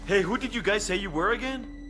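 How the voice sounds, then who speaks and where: A man's voice: high-pitched, a little fast, a bit bright, faint music behind. A man playing a wary informant in a video game cutscene. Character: wary male informant answering an interrogator.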